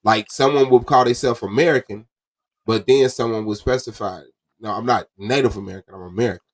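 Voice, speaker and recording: A man's voice: on the low side, brisk. A man hosting a casual debate podcast, arguing his point insistently in slangy talk.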